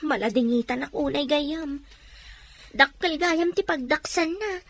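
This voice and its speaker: High-voiced sympathetic Ilocano friend. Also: female voice, a little slow, a bit bright. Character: sympathetic female friend and fellow overseas worker. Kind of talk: radio drama acting.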